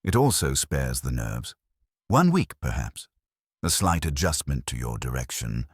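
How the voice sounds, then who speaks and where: A man reading a courteous, quietly firm police inspector in an audiobook, polite, understated and formal. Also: very deep voice, very animated, unhurried, breathy edge, no room sound, studio quality, heavily acted.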